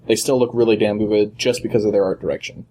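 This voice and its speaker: A young man with a deeper voice co-hosting a casual gaming podcast, teasing and joking with his partner. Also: fairly even intonation, quick pace, mellow, slight background noise. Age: young adult. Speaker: podcast co-host.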